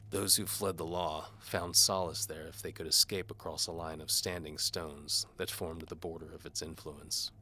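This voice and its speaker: A man's voice: a bass voice, sharp tone, a little hiss or hum. A man narrating a scripted first-person audio drama in a dry, hard-boiled noir style. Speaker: narrator and performer.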